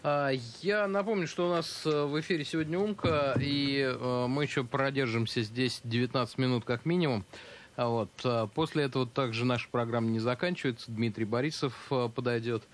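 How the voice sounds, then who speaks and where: A man hosting a live radio show, taking calls and making announcements in a relaxed, wry manner. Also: mid-range voice, brisk. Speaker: radio host.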